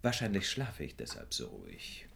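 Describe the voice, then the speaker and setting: A man acting in a radio drama as a shrewd, composed detective, speaking in a measured, polite, probing and drily ironic way. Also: extremely low pitch, huge pitch swings, creaky, fairly bright tone, a little hiss or hum, exaggerated voice. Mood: dry irony. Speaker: actor in a radio play.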